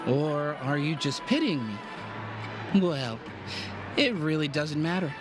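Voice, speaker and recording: Slow, giggly drunkard. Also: male voice, fairly high pitch, animated. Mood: tipsy, wistful. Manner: giggly, rambling. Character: tipsy, dreamy drunkard with poetic pretensions. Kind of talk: video game voice acting. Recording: game music behind.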